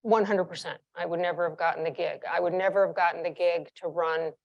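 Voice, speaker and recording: A woman's voice: lowish voice, low-quality recording. A woman in her sixties from New York, a former chief executive telling frank, vivid stories from her career in an interview. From New York, United States. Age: 60s. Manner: frank, vivid anecdotes with emphatic repetition. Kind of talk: candid interview storytelling.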